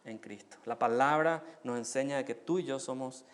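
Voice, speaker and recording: A man from Paraguay with a Paraguayan Spanish accent, preaching a sermon as pastor, asking rhetorical questions and rising loud on key phrases. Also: mid-range voice, normal speed.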